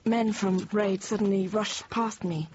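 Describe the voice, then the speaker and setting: An actress playing a thirty-year-old Parisian teacher, recalling an ordeal in measured, halting, controlled radio drama narration. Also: female voice, medium pitch, fairly even intonation, lightly acted. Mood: tense recollection. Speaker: lead actor and narrator. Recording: dull, noisy radio drama recording.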